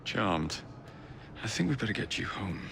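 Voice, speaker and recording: A man's voice: deep voice, very animated, normal speed, gravelly, a bit bright, a little hiss or hum, exaggerated voice. An actor playing a cocky, roguish young Victorian man in a video game, with an English accent and a wry, cheeky, polite manner. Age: young adult. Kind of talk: video game cutscene acting.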